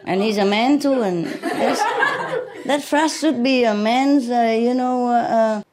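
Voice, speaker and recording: A woman's voice: a little high, unhurried, a crowd in the background. A woman spiritual teacher chatting casually with a group, teasing them and laughing often.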